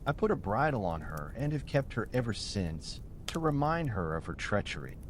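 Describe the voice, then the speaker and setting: An earnest old man , acted by a male narrator recounts his wrongs in formal storybook fashion. The pitch rises and falls freely, with faint rumbling noise underneath. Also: very animated, moderate pace.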